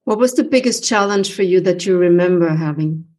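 A woman's voice: on the low side, lively intonation, fairly quick, darkish tone, a little hiss or hum. A woman hosting an interview, earnestly advising listeners, with frequent fillers. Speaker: podcast host.

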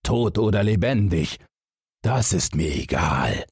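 A huge, boastful giant out of a fairy tale, acted out by a male reader, thirsting for blood. The voice is low and very husky. He booms out his threats slowly and with menace. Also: lively intonation, a little mellow, dry recording, clean studio sound, heavily acted. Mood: menacing, boastful. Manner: booming, slow menacing delivery. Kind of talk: fairy-tale audiobook character.

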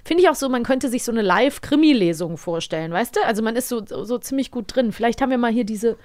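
A woman's voice: fairly high pitch, animated, fast talker, darkish tone. A woman with pronunciation, co-hosting a casual conversational review of audio dramas. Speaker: podcast co-host.

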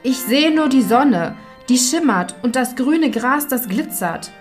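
A woman's voice: sits high, expressive, a little slow, faint music behind, pitched above the performer's own voice. A woman voicing a watchful young sister in a fairy tale, calling out in a lilting way from a tower. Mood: watchful, resigned. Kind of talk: dramatised fairy tale acting.

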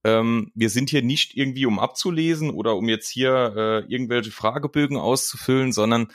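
A man's voice: medium pitch, brisk, no echo. A young man hosts a podcast in a loose, rambling way, padding his questions with filler words. His voice sounds dry and close, with no room around it. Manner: rambling, friendly, many fillers. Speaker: podcast host. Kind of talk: casual podcast interview.

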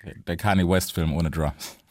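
A young male podcast host with a fairly low voice and a loose, easygoing delivery. He likes to sprinkle English slang into his talk. The sound is dry and close, with no room echo. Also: steady tone, moderate pace, darkish tone. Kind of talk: casual interview chat.

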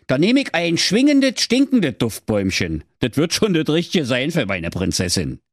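A boastful, brash young fellow from a comic storybook, as a man puts him on, his voice pushed high and bright. It is a little breathy and husky, recorded dry and close. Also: huge pitch swings, normal speed, no room sound, full character voice. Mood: eager.

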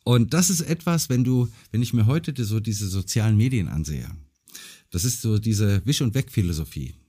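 A man's voice: on the low side, expressive, moderate pace, mellow, slightly rough. A man hosting a podcast interview who encourages his guest and agrees often. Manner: encouraging, with frequent backchannel agreement. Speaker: podcast host. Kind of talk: casual interview chat.

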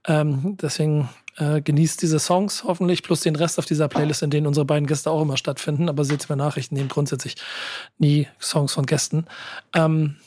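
A man's voice: on the high side, level tone, fairly quick, darkish tone, a little hiss or hum. A man in his forties hosting a casual music talk, informal and often repeating the start of his phrases. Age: 40s. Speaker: talk show host.

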